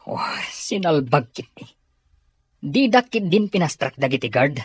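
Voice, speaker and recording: A woman's voice: sits low, very animated, unhurried, breathy edge, no room sound, heavily acted. A woman with a Filipino accent playing an exasperated, defensive underling in an audio drama. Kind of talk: Ilocano audio drama acting.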